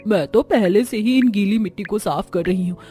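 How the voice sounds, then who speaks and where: A woman's voice: mid-range voice, normal speed, dark tone, breathy edge, soft background music, natural voice. A woman voicing young village daughters-in-law in a moral-story cartoon, theatrical and exclamatory as they bicker and complain. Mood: exasperated.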